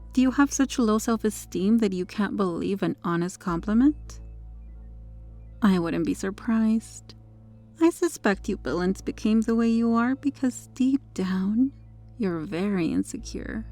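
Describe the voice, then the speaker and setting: Woman acting a mellow, controlled superheroine with dark secrets. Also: mid-range voice. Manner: smug, flirtatious, controlled. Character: possessive, teasing female superhero hiding a dark obsession. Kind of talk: ASMR roleplay acting.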